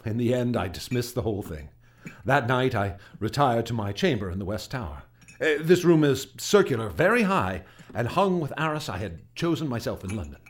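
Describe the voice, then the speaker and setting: An actor playing a troubled gentleman recounting frightening events in a radio drama, earnest and hesitant, stammering when unsettled. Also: medium pitch, very animated, moderate pace, lightly acted. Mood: uneasy, recounting. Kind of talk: radio drama acting, halting testimony.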